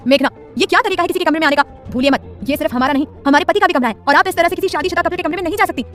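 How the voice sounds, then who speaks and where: A woman voicing a shy, timid young wife who speaks softly and hesitantly. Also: sits high, quick pace, faint music behind, natural voice. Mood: firm. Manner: soft, hesitant, pleading.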